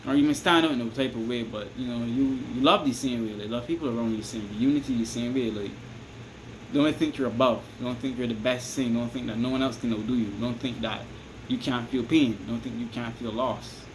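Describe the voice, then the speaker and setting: A man's voice: mid-range voice, steady tone, a little fast. Rambling Barbadian man with hissy background. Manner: rambling, repeats "you understand me?", restarts often. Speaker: vlogger giving advice. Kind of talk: casual advice monologue to camera.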